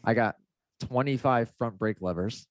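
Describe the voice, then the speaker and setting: A man's voice: mid-range voice, normal speed, no echo. A man chatting casually in a podcast, rambling and laid-back with lots of fillers, his nose a little stuffed up. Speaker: motocross racer.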